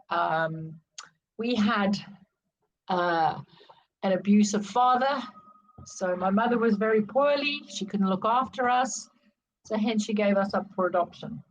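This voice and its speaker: A middle-aged woman with a South African accent speaks as a podcast guest. She talks candidly about her own life in a reflective way, at an ordinary pitch. The audio is a little dull and lo-fi, like a remote call. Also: measured pace, long pauses. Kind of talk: personal life-story interview.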